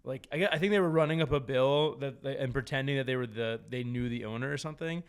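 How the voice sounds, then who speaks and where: Male podcast host from New Jersey, gravelly low-key voice, chatty and stammering. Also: fast talker. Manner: chatty, lots of restarts and stammers. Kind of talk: casual chat.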